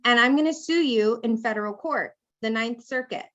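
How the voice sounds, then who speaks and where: A woman's voice: fairly high pitch, normal speed, a bit bright, highs cut off. A woman law professor giving a conversational classroom lecture, acting out example speakers as she explains. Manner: conversational, acts out hypothetical speakers.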